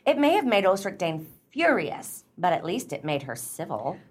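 An opinionated society lady played by an actress in a radio play. Her lowish voice is hugely animated, emphatic and genteel, with a gossipy edge. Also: moderate pace, husky. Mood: knowing.

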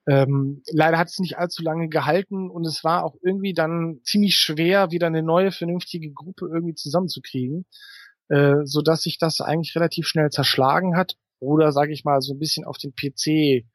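A man with a fairly high voice answers interview questions casually. He explains thoughtfully, hesitating as he builds his sentences. The sound is close and dry. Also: steady tone, fairly quick, dry recording. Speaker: interviewed fantasy author.

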